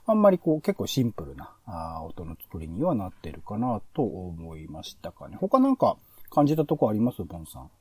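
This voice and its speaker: A man's voice: fairly low pitch, wildly swooping pitch, a little fast, hardly any pauses, a little mellow, husky, no room sound, far from the mic. A man co-hosting a podcast, giving conversational commentary in a review of radio shows. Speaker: podcast co-host.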